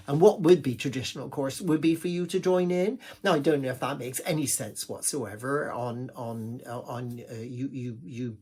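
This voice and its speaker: A man's voice: a little high, a little mellow, slightly rough. A man with an English accent performing a gossipy comic monologue, rambling along in an indignant village-gossip manner.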